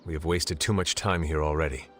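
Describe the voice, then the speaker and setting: An actor playing a stern, solemn half-demon monster hunter in a video game, speaking in formal, archaic phrases. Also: male voice, rumbling low, steady tone, brisk, a bit bright. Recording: music behind the voice.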